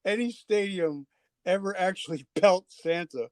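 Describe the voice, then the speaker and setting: A man's voice: sits high, unhurried, smooth, dry recording. An older man from New Jersey hosting an unscripted podcast, chatting in a casual, rambling, jokey way. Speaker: podcast host.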